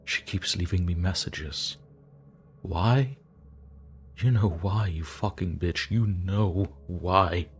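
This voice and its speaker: A man acting out a terrified, exhausted man who whispers, pleads and breaks into frantic shouts as he writes his journal in a horror story. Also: low-pitched, takes it slowly, long pauses, soft background music. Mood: haunted. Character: haunted guilt-ridden man writing a journal.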